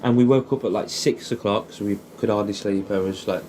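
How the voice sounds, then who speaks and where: A young man with an English accent and a soft, mellow voice reminisces as if to an old mate. He rambles nostalgically, swears freely and keeps ending statements with little questions. There is faint noise behind him. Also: lowish voice, fairly even intonation, fairly quick. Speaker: audio creator. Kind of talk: casual intimate reminiscing addressed to an old friend.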